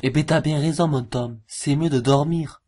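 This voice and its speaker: A man's voice: a little high, moderate pace, mellow, slightly rough. A man hosting an informal cartoon review, with a jokey, mocking and slangy way of talking. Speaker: video host. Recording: voice-over with music behind. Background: music.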